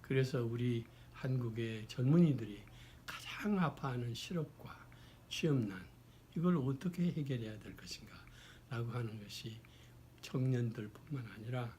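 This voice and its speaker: A man, hoarse from a cold, giving an explanatory talk in a formal, deliberate way with halting phrasing. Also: medium pitch, lively intonation, mellow, slight background noise. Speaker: lecturer. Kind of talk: explanatory talk on social issues.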